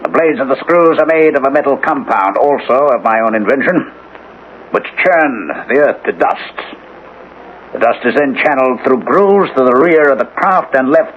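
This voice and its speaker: An actor playing a cunning criminal inventor in a radio drama, with measured, formal, taunting diction. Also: male voice, mid-range voice, lightly acted. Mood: proudly explaining. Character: cunning, menacing male criminal scientist and inventor. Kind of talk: 1980s radio drama acting. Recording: dull, noisy old radio broadcast recording.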